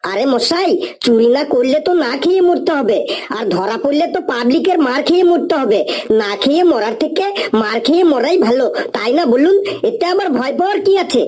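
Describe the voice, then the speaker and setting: A very high-voiced cartoon thief played by a woman, cheeky and fearless. He argues glibly, wheedling and pleading as if burglary were honest work. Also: animated, a little fast, a little mellow. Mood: glib, defiant. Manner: glib, pleading, argumentative. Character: cheeky, fearless, argumentative male burglar, comically shameless. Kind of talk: comic cartoon dialogue.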